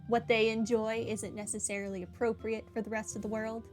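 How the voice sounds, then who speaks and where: A woman comments casually as she plays a video game, at times reading lines aloud. Her voice is on the high side. Game music runs clearly underneath. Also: level tone, fairly quick. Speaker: gamer.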